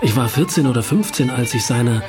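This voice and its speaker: An actor playing a reserved, dry middle-aged writer from East Germany in a naturalistic radio drama. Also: male voice, mid-range voice, lively intonation, brisk, roomy sound, music in the background, close to an everyday voice. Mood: reflective.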